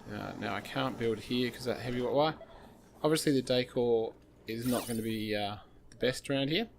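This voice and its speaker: A man talks through a game he is playing, wandering from thought to thought with plenty of fillers. His voice is slightly hoarse and sits at an ordinary middle pitch. A faint noise runs under his desk-mic recording. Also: normal speed. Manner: rambling, thinking aloud with many fillers. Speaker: gaming channel host. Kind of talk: casual gameplay commentary.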